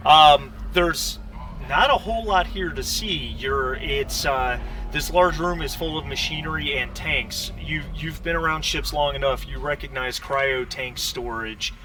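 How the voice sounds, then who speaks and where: A man narrating a tabletop game as game master, improvising with halting pauses. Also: fairly high pitch. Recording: distant mic with background noise.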